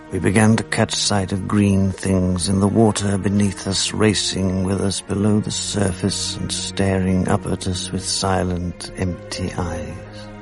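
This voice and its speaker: A man's voice: low voice, gravelly. An actor playing an ailing, grieving, lonely man speaking his thoughts in a subdued, reflective radio drama monologue.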